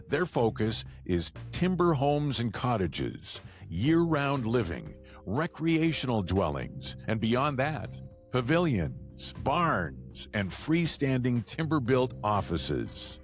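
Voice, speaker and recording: A man hosting a podcast, delivering a scripted promotional read for sponsors. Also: lowish voice. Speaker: podcast host reading sponsor spots. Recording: background music under voice.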